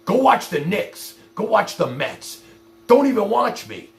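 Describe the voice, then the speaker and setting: A man's voice: sits high, very animated, a little slow, gravelly, a bit bright. A man hosting a livestream, ranting at his viewers in a profane, confrontational, exasperated way with blunt rhetorical questions. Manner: addresses viewers directly with repeated rhetorical questions. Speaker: livestream host.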